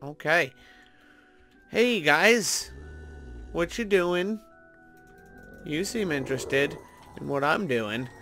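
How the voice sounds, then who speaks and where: A man with a slightly high voice gives casual commentary for a gaming video. He sounds chatty and playful, thinking aloud as he goes. Faint music plays behind him. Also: animated, slow, pauses often, bright, forceful tone. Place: indoor voice-over recording over gameplay. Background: background music.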